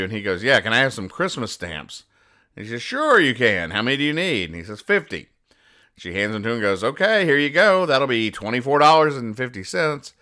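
Deadpan male podcast host, fairly low pitch, crisp delivery, explains things very literally. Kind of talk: reading and explaining comic strips.

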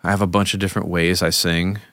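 Laid-back low-voiced man chatting. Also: flat delivery, fast, sharp tone, dry recording, clean studio sound. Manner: rambling, laughs often, trails off mid-sentence. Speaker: podcast co-host, musician. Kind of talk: casual chat.

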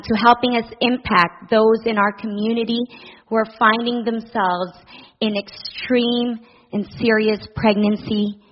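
A middle-aged woman from the Philippines addresses a church congregation as a charity director. She speaks with earnest warmth and a personal touch, dotted with soft fillers. The sound is a little dull. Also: medium pitch. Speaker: director of a family services charity.

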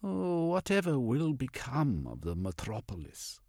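Brief: spirited young woman explorer, voiced by a male narrator, prim and quizzical, lively delivery. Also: mid-range voice, a little slow, no echo, studio quality, voice pushed up, exaggerated voice. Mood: teasing. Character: headstrong young woman adventurer.

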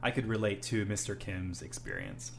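A man's voice: fairly low pitch, wildly swooping pitch, moderate pace, creaky, a little hiss or hum. A young man hosting a casual chat, rambling with many "um"s and "you know"s. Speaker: host, English teacher.

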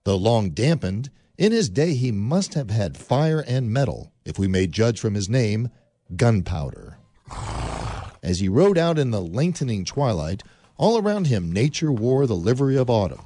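A man narrating a classic tale in a measured storytelling manner. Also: mid-range voice, big pitch swings, mellow. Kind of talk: scripted narration.

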